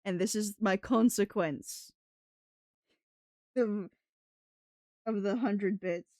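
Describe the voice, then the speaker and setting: A woman livestreamer talks to her viewers in a practiced, put-on British accent. She is chatty and rambling with a giggly streak, at a medium pitch. The sound is dry and close. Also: takes it slowly, dark tone, dry recording. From New England, United States. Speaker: live streamer. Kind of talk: casual livestream chat with viewers.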